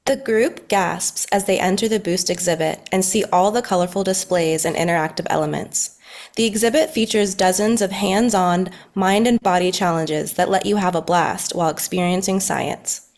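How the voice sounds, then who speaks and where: Mid-pitched woman narrator reading a script. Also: moderate pace, a little mellow. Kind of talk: scripted narration at a table read.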